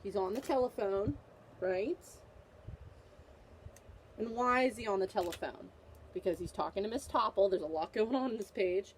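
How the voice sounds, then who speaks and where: A woman's voice: medium pitch, normal speed, pauses often, a little hiss or hum, low-quality recording. A woman teacher reading a picture book aloud to children, with an instructive manner, repeating questions to prompt her young listeners.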